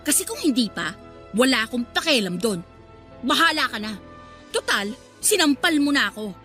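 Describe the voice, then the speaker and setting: A woman's voice: high-pitched, sing-song, moderate pace, bright, forceful tone, exaggerated voice. A woman playing a brazen, catty mistress with a Filipino accent and a mocking manner in a radio melodrama. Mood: smug, taunting. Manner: sassy, mocking. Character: brazen, taunting young mistress, shameless and catty. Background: dramatic music.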